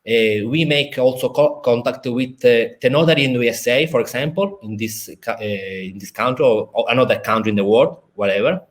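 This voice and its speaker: Italian-accented man, halting legal explanation. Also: mid-range voice. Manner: halting, many fillers and restarts. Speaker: lawyer. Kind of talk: explaining a legal procedure in a live stream. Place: in a city in Italy, at home or office.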